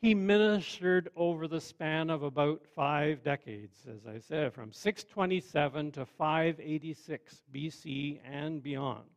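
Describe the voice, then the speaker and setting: A man preaching a sermon in a measured, conversational way with wry asides. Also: a little high. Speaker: pastor.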